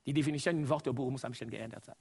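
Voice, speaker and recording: A man's voice: mid-range voice, racing, creaky, a little mellow, slightly rough. A middle-aged man from Congo with a Congolese accent, whose first language is Lingala, preaching a sermon to a congregation, exclaiming and laughing often. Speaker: guest preacher.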